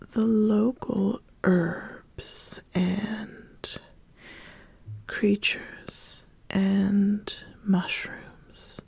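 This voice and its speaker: A woman playing an ambitious, discerning witch in an ASMR roleplay, speaking in a soft, breathy whisper with slow, deliberate questioning. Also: medium pitch, no room sound, heavily acted. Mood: quietly probing. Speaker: host of an ASMR channel.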